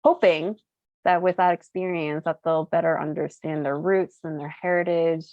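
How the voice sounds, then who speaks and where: Chatty woman in her thirties, low voice. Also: steady tone, normal speed, darkish tone, no room sound, highs cut off. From United States (Colorado). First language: Cantonese. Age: 30s. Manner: chatty, uses many fillers and laughs easily. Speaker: interview guest, entrepreneur. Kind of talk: casual chat, storytelling.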